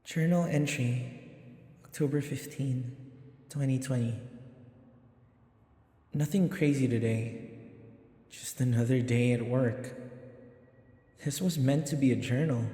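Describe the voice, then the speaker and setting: A man playing a vampire's uneasy, devoted human servant in an audio roleplay, speaking in a measured, reflective, journal-like way with echo around the voice. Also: mid-range voice, mellow, natural voice. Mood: matter-of-fact, reflective. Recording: echoey, long reverb.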